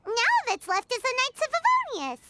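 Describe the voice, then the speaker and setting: Squeaky, childlike fairy sidekick. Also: female voice, wildly swooping pitch, a little fast, very noisy, heavily acted. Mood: musing. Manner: speaks of self in third person, exclamatory. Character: small excitable childlike fairy companion, blunt and cheeky. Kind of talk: anime game dubbing.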